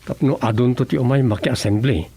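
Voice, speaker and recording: A man's voice: mid-range voice, fairly quick, warm tone, breathy edge, no room sound. A man playing an eager, evasive married villager in a radio drama comedy, with an Ilocano accent. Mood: eager, placating.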